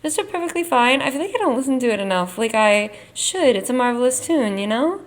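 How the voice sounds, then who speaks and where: A woman's voice: fairly high pitch, animated, brisk. A young woman vlogger chatting casually as she ranks songs, her voice worn from losing it, rambling with lots of "like". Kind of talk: casual tier-ranking chat.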